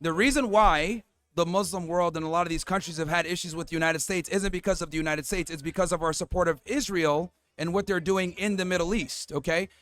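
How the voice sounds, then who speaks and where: A man's voice: high voice, fairly quick, hardly any pauses, a bit bright. Assertive man laying out numbered points. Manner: assertive, lists points by number, cuts in to hold the floor. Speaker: debate host. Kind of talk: street debate argument.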